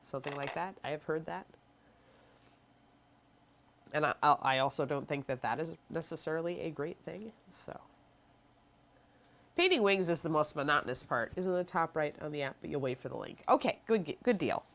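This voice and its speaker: A woman's voice: sits low, expressive, normal speed, long pauses. A sniffly young woman, stuffy from allergies, giving a chatty live painting tutorial and answering chat questions. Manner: chatty, reads chat questions aloud, frequent ums. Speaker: miniature painting instructor.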